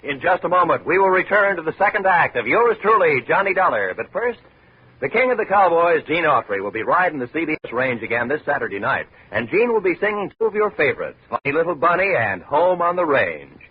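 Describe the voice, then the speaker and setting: A man's voice: a little high, very animated, fairly quick. A man announcing a scripted promo in the style of a 1950s radio broadcast. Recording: dull 1950s radio recording, faint noise.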